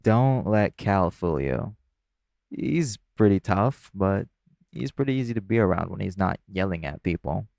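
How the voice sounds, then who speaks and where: Man acting as a low, gravelly, easygoing club performer. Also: expressive, a little slow, a little mellow, no echo, heavily acted. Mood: relaxed, confiding. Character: easygoing, teasing male club performer chatting with new coworker. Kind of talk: intimate audio roleplay, casual conversational acting.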